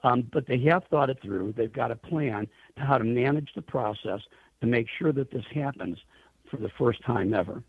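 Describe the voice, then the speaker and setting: Gravelly man on a tinny phone line. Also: medium pitch, moderate pace, no room sound. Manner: emphatic, rhetorical questions. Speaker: interview guest, airline trade association chief executive. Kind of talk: radio interview, advocacy answers.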